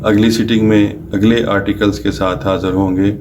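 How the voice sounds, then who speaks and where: A man's voice: fairly low pitch, flat delivery, moderate pace, background noise. A man with a Pakistani accent speaking as a lawyer teaching a lesson, explaining with examples, restating his points and mixing in English legal terms. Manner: didactic, rephrases and repeats points. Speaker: lawyer giving a law lesson.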